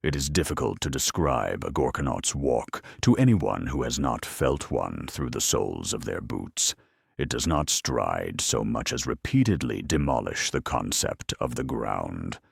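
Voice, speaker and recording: A man reading a scripted dramatic story in a measured, deadpan manner with dry humour. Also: extremely low pitch, creaky, slightly rough, no room sound. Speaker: narrator.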